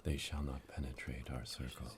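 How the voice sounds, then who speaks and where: A man voicing a solemn witch coven elder in an ASMR roleplay, hushed and breathy, chanting ominously close to a binaural microphone. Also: a bass voice, level tone, background noise. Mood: solemn, incantatory. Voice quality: breathy whisper. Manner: slow, incantatory, repetitive, ominous.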